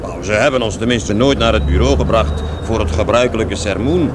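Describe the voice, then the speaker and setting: A man's voice: a little fast, natural voice. An actor playing a bitter grown working-class son in a radio drama, talkative and hesitant, repeating himself as he goes. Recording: vehicle noise under the voice. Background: loud rumble of a vehicle.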